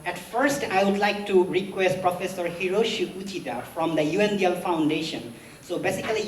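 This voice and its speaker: A woman closing her speech and introducing the next speaker as event host, earnest and repeating her points. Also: lowish voice. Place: conference hall. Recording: echoey hall from far off, faint noise.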